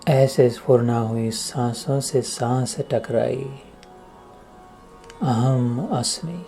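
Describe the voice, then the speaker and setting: A man giving a devotional guided meditation discourse as a spiritual teacher, solemn and deliberate, speaking in short phrases with long pauses. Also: medium pitch, fairly even intonation, gravelly, dark tone, soft background music. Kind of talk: slow devotional meditation discourse with chanted verses.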